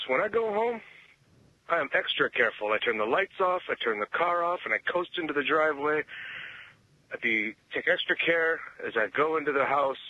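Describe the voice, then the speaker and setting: A man calling in on a voicemail, telling a joke in deliberate short phrases. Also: medium pitch, no room sound. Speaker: voicemail caller. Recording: dull lo-fi voicemail message.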